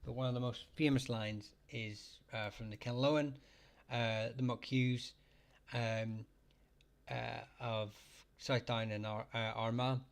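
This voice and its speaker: A man's voice: mid-range voice, steady tone. Hesitant man hosting a live chat. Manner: halting, many fillers and restarts, thanks viewers for gifts. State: getting hoarse, clears throat often. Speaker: livestream host answering surname questions. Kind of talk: casual live-chat talk.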